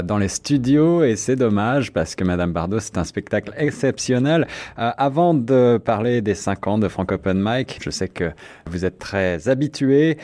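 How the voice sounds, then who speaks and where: A man's voice: fairly low pitch, a little mellow. A man hosting a French-language radio interview in the studio, speaking with frequent hesitant fillers. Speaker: radio host.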